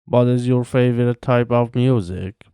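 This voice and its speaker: Careful, mellow man. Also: mid-range voice, steady tone, smooth, no room sound, clean studio sound. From Iran. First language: Farsi. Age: 20s. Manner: slow, careful, evenly paced delivery with pauses between answers. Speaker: language teacher. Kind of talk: reading scripted practice answers.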